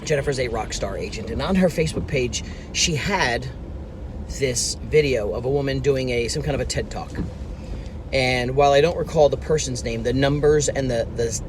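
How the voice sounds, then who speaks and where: A man's voice: medium pitch, noisy background. A man in his fifties giving an earnest motivational talk to his phone camera from inside a car, repeating his key points with emphasis. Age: 50s. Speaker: business coach. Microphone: phone camera at arm's length.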